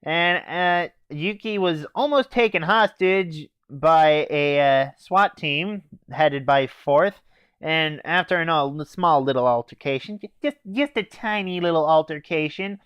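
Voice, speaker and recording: Unhurried young woman, hesitant and casual. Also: deep voice, clean tone, no echo, muffled recording. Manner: hesitant, stumbling, dry. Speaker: anime reviewer. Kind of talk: casual comedic recap.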